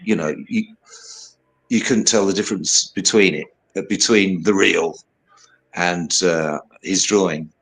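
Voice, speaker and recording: Rambling low-voiced older man. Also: unhurried, a bit bright, low-quality recording. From United Kingdom. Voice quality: low baritone voice. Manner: rambling, hesitant, many fillers and "you know". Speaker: guest singer-songwriter. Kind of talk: casual interview chat.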